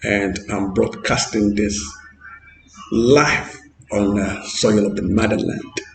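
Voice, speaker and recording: A man's voice: fairly low pitch, steady tone, takes it slowly, gravelly, roomy sound, a little hiss or hum, poor audio. A man from Ghana with a Ghanaian English accent, hosting a vlog on a street and talking earnestly with repeats and fillers. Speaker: vlogger. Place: outdoors on a street.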